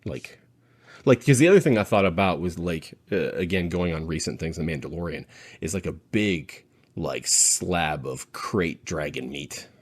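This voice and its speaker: A man hosting a casual panel chat, speaking in a rambling, halting way as he thinks aloud. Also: low-pitched, expressive, husky. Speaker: podcast host.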